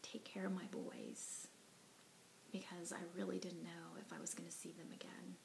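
A woman in her forties telling her own story to camera from her living room, earnest and reflective, often soft and breathy, with many ums and you knows. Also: lowish voice, huge pitch swings, normal speed, noisy background. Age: 40s. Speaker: heart attack survivor telling her story. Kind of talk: personal testimony to camera. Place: living room at home, indoors. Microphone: device camera at arm's length, sitting close.

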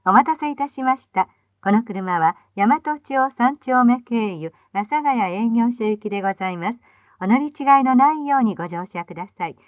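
Female transit announcer, formal and precise diction, fairly high pitch, dry sound on band-limited vintage tape. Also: expressive, normal speed, dry recording. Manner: polite, formal, carefully enunciated. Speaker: bus announcer. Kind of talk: scripted in-vehicle bus stop announcements. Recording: dull phone-like old tape playback.

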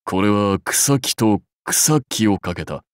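A proud old-school samurai warlord for a game, lowish voice, really slow and stately, kinda wry. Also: male voice, animated, no echo, studio quality. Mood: wry, playful. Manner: stately, measured, archaic lordly speech. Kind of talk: scripted game character lines.

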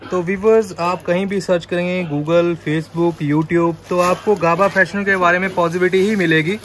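A man's voice: sits high, fairly even intonation, normal speed, fairly bright tone. A man hosting a shop video, asking the owner questions and addressing the viewers with affirmations. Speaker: video host. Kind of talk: casual interview and promo.

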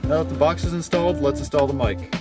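A man's voice: on the high side, quick pace. A man in his twenties giving a casual how-to explanation to his viewers. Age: 20s. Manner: casual, addresses viewers as guys. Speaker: host. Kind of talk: how-to vlog, explanatory talk to camera. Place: outdoors by and inside a parked or moving car. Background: music.

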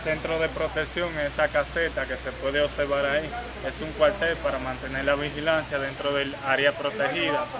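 A man guides visitors outdoors, walking them through a trail map in a formal, measured way. His slightly high voice stays very even, with little rise or fall. Loud crowd chatter surrounds him. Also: poor audio. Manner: formal, steady, explanatory. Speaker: park tour guide. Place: outdoors at a park entrance.

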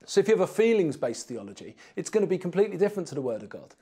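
A man's voice: sits high, sing-song, very fast, warm tone, husky. An English man in his forties speaking earnestly and emphatically as an interview guest, firing off rhetorical questions, on a lapel mic. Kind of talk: animated interview talk. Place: small indoor studio room.

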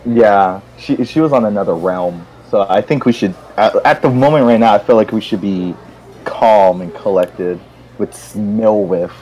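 A man's voice: on the low side, moderate pace, mellow, slight background noise, rough recording. A man chatting casually on a game stream, playfully riffing on absurd ideas. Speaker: guest player in game stream. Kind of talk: casual joking chat.

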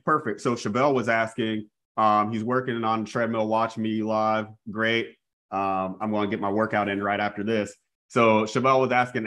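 A man in his thirties giving a live real estate coaching webinar over a video call, talking energetically and often calling out to his audience. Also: on the low side. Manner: energetic, repeats "guys", restarts phrases. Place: small room indoors. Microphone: earphone mic on video call.

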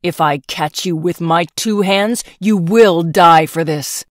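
A woman voicing a furious old emperor and father, shouting and condemning in drawn-out words for a storybook. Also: fairly low pitch, no echo, studio quality. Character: enraged, scolding old emperor, the princess's father.

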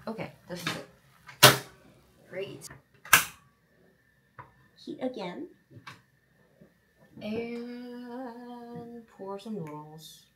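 A woman's voice: a little high, drawn-out words, long pauses, heavy background noise. A young woman with a Korean accent, chatting casually while she cooks and eats at a table at home. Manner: chatty, mixes in Korean phrases. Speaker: cooking vlogger. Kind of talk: casual cooking chat. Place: home kitchen table, indoors. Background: frying and sizzling from the pan.

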